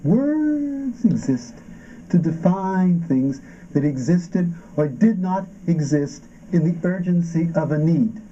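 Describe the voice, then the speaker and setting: A man's voice: fairly high pitch, lively intonation, takes it slowly, mellow. An actor playing a tormented existential man in an avant-garde stage drama, declaiming with odd stresses. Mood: brooding. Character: tormented existential man questioning being and nothingness. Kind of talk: avant-garde theatrical performance of a radio play. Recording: dull, muffled stage recording with noise.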